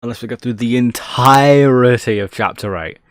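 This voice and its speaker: A man's voice: medium pitch, normal speed, no room sound, clean studio sound. A man casually thinking aloud on a live tech stream, jokey and self-deprecating, muttering each step as he works.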